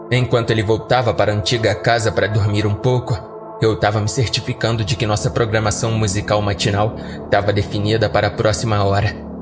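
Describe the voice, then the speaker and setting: Brazilian man narrating over music. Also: on the low side. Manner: colloquial, conversational storytelling. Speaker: horror story podcast narrator. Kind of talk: audiodrama narration in first person.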